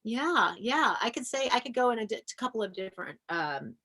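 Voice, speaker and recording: A woman musician talking earnestly in a relaxed conversation, reflective, with frequent fillers and restarts. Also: on the high side, fairly quick. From American. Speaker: folk punk musician and music educator. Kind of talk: casual conversation.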